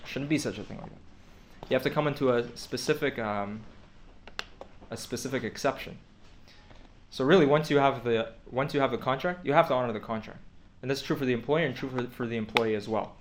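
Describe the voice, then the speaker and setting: A man's voice: medium pitch, a little fast, slight background noise. A man teaching a class, explaining points at length with frequent restarts and fillers. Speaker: teacher of Torah law. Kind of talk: classroom lecture.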